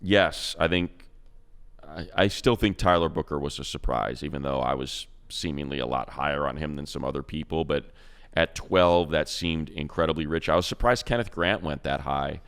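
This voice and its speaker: A man with an extremely low, smooth and resonant voice talks football in a casual co-host chat. He rambles in an animated way, reels off long strings of player names and often restarts his sentences. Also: normal speed. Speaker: co-host, team radio play-by-play announcer.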